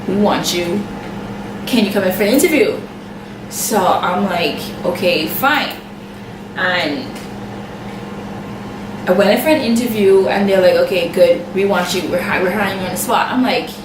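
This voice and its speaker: A young woman with a Bahamian accent tells a personal story to camera in a chatty, animated way, full of asides and quoted back-and-forth. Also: mid-range voice, distant mic. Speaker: makeup artist vlogger. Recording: echoey room, off-mic, steady background noise.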